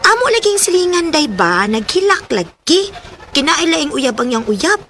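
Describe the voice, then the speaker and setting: High-pitched earnest schoolgirl, Cebuano accent. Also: sing-song, normal speed, a bit bright, a little hiss or hum. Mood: earnest, chatty. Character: earnest, dutiful teenage schoolgirl daughter. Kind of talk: radio drama acting in Cebuano.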